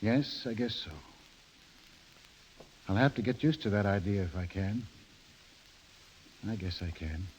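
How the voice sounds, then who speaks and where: An actor playing a weary but dogged middle-aged American husband in a 1930s radio drama, plain and halting in manner, on a dull old recording. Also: male voice, lowish voice, darkish tone, a little hiss or hum, close to an everyday voice. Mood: resigned.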